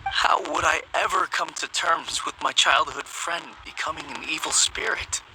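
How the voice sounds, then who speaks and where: A man playing an anxious, haunted young man in a video game, speaking in a hesitant, halting way. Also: on the high side, background noise, natural voice. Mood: troubled, brooding.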